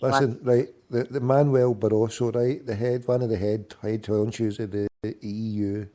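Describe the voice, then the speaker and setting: Blunt man with a mellow, dark voice. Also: lowish voice, steady tone, normal speed. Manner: blunt, crude banter. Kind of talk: casual chat.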